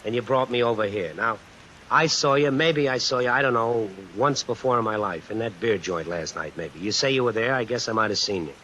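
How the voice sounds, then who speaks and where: An actor playing a brash, suspicious American drifter fresh out of jail, slangy and sardonic, in old-time radio suspense style. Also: male voice, lowish voice, a little fast, muffled recording. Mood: irritated, sardonic. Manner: slangy, hard-boiled, sardonic. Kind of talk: old-time radio suspense acting with first-person narration. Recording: vintage radio recording with hiss and rain. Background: steady rain sounds added over the broadcast.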